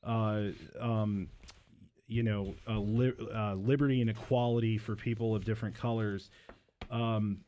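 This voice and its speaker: A man's voice: fairly low pitch, steady tone, unhurried, mellow. A man speaking as a novelist guest in a casual interview, explaining things earnestly. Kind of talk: casual book interview chat.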